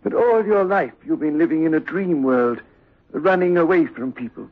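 A man playing a firm, probing sanatorium doctor in a 1960s radio drama, speaking with deliberate authority. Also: a little high. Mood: confronting. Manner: stern, deliberate, commanding. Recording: muffled, lo-fi old radio recording.

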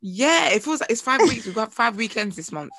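A woman's voice: on the high side, big pitch swings, a bit bright, dry recording. Tired, laughing young woman. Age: young adult. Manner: laughs often, tired and drawn-out complaints. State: voice sounds tired and a bit strained. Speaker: podcast co-host. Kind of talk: casual chat.

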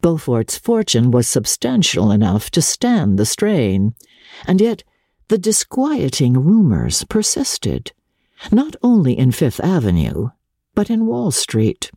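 Unhurried, expressive woman narrator with mellow tone. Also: a bass voice, dry recording, studio quality. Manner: measured, expressive, theatrical. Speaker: audiobook narrator. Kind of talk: dramatic literary narration.

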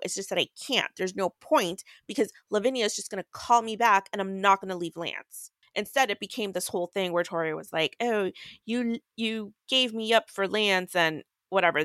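A woman's voice: mid-range voice, fairly quick, a bit bright, no room sound. Blunt, exasperated woman in a dry close recording. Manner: exasperated, freely swearing, blunt. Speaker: podcast co-host. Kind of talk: casual book recap and commentary.

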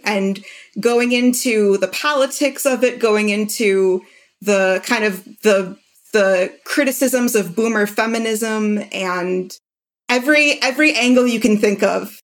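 Woman, middle pitch, podcast host, casual conversational delivery.

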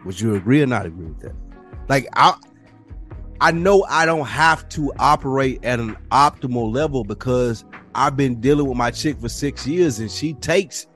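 A man's voice: medium pitch, expressive, moderate pace, a bit bright, soft background music. A man hosting a casual podcast, talking in an emphatic, slangy way and acting out quoted thoughts.

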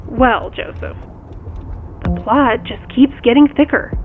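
Audio drama: sharp, wry sister played by a woman, highish voice, direct and probing, thin phone-line sound. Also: slow, creaky, soft background music. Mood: wry, puzzled. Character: sharp, teasing sister of the main character. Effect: phone call filter. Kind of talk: audio drama acting, conversational. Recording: tinny phone line, faint background noise.

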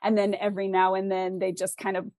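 A woman's voice: mid-range voice, monotone, fast talker, a little mellow, no echo, clean studio sound. A woman with a West Coast American accent, explaining her ideas thoughtfully and articulately as an interview guest, often posing questions as she goes. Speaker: guest author.

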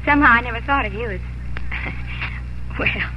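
An actress playing a spirited, proud young planter's daughter in 1950s radio drama. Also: female voice, on the high side, normal speed, very noisy, lightly acted. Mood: surprised, coy. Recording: muffled old radio broadcast, music.

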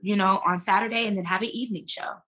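A woman with a bright, forceful voice speaks as a guest in a casual podcast chat. She is an actress who tells things in a slangy, emphatic way, with plenty of animation. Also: medium pitch, fairly even intonation, quick pace. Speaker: guest, stage and screen actress.

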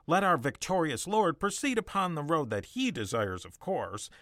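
A man's voice: fairly high pitch, sing-song, moderate pace, fairly bright tone, no echo, studio quality. An actor playing an anxious Egyptian general in a dramatic reading, pleading formally with his king. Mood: worried pleading. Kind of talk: dramatic reading of an ancient account.

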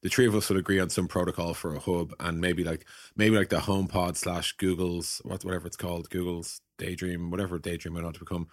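A man's voice: sits low, flat delivery, quick pace, few pauses, no room sound, studio quality. A man in a casual tech discussion, hosting and telling points through imagined quotes. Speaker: podcast host and tech executive.

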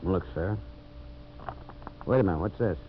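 A man's voice: sits low, a little slow. An actor playing a shrewd, hard-nosed timberman in a 1950s radio drama, terse and suspicious. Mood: guarded, terse. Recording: muffled, hissy old radio recording with hum.

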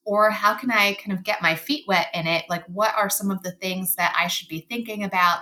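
A woman's voice: lowish voice, pushed and bright. A woman appearing as a podcast guest who explains grant work fluently. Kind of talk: podcast interview.

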